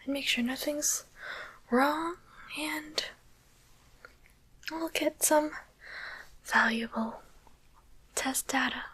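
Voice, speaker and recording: A woman's voice: high-pitched, sharp tone, full character voice, poor audio. Woman acting a whispering, teasing demon doctor. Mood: curious, coaxing. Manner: slow, deliberate, coaxing whisper. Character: demon doctor, female, softly teasing, curious about humans. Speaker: ASMR roleplay performer. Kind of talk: whispered ASMR roleplay. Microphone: close microphone.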